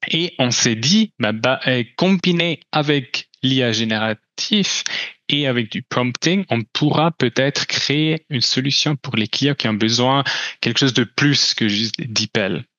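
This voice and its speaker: A man's voice: mid-range voice, unhurried, pushed and bright, no room sound, muffled recording. Man presenting hesitantly. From Switzerland. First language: German. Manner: hesitant, many restarts and fillers. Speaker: company presenter. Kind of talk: conference talk with slides.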